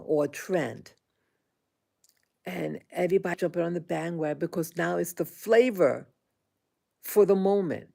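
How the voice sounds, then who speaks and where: A woman in her sixties talking into her own vlog, halting and indignant, with frequent restarts and fillers. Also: fairly low pitch, gravelly, mellow, slightly rough. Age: 60s. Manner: aggrieved, indignant. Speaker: vlogger. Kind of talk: personal monologue vlog.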